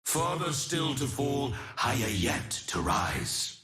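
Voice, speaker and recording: Slow, forceful immortal fallen warrior. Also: male voice, mid-range voice, very animated, roomy sound, heavily acted. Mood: noble resolve. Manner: solemn, declamatory, deliberate. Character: solemn, noble, immortal male fallen warrior seeking redemption. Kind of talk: theatrical fantasy game voice acting.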